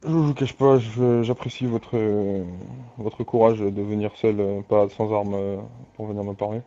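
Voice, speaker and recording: A man playing a composed, authoritative crime family boss in an online roleplay game, speaking formally and in a measured way with hesitant pauses. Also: fairly low pitch, little pitch movement, dark tone, natural voice. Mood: firm, polite. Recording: dull lo-fi game voice chat, music.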